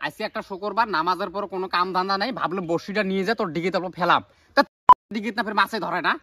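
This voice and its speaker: A man's voice: high-pitched, racing, bright, forceful tone, dry recording. A man playing a cantankerous village elder in an amateur comedy skit, indignant and scolding, with a northern Bengali regional accent. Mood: defensive. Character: cantankerous village elder uncle caught fishing in another's pond. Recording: clearly noisy amateur video sound.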